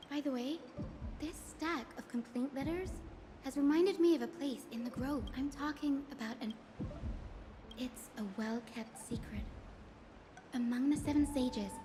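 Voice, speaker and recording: A woman plays a young female student from a video game, with a high, earnest voice. She speaks expressively, in a long reverb with clear music playing behind her. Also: close to an everyday voice.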